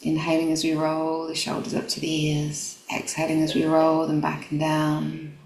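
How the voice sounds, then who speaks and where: A woman guiding a meditation in a gentle, calm, instructional manner. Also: low voice, normal speed, a little hiss or hum. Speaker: meditation guide. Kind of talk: guided meditation. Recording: roomy echo, music behind. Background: background music.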